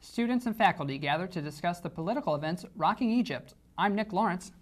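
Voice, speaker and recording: A man's voice: sits high, animated, quick pace, music in the background. A young man anchoring a student news show, reading the copy and asking interview questions. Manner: read-out anchor lines, loose in interview.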